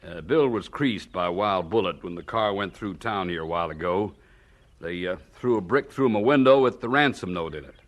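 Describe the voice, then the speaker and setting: A man's voice: low-pitched, lively intonation, brisk. An American man playing a kindly, hesitant small-town sheriff in a radio drama. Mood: matter-of-fact. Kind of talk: 1970s radio drama acting.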